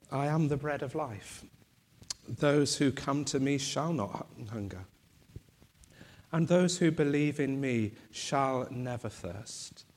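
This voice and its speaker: A man leading communion in a church, reciting liturgical prayers in a slow, solemn, measured way, his voice going. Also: medium pitch, darkish tone. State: losing his voice.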